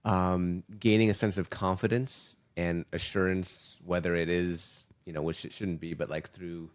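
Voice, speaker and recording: A man speaking as a host in thoughtful, reflective conversation, with measured and searching phrasing. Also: sits low, flat delivery.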